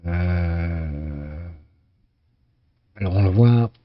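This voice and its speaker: A man with a very deep, hoarse voice gives a loose, conversational commentary on a sailing race game. He hesitates often, repeating words and filling gaps with uh as he goes. The recording sounds somewhat dull and lo-fi. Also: extremely slow. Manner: hesitant, many fillers and restarts. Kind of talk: casual live game commentary.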